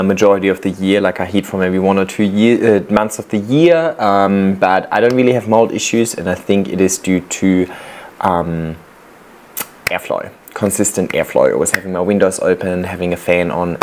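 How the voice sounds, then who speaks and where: A man with a somewhat low voice talks through plant-care advice in a loose, chatty way. He drifts between points with small fillers and restarts. It sounds like an easygoing creator commenting on viewers' clips. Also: moderate pace. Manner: relaxed, chatty, giving practical tips with fillers. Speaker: plant content creator reacting to viewer videos. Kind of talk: casual explanatory commentary.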